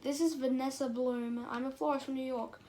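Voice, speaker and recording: A woman's voice: sits high, steady tone, normal speed, mellow, a little hiss or hum, lightly acted. A woman playing a flustered young female florist, panicky but game, with an anxious, exclaiming manner. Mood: worried.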